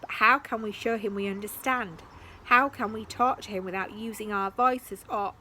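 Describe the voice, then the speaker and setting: A woman's voice: medium pitch, steady tone, normal speed, pushed and bright, slight background noise, close to an everyday voice. A woman voicing Max, a cautious and thoughtful young boy in a storybook reading. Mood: worried.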